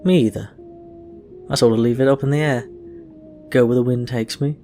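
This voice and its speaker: A man's voice: medium pitch, fairly even intonation, normal speed, mellow, soft background music, lightly acted. A man voicing a breezy, teasing, curious supernatural man in a casual, playful way for a story.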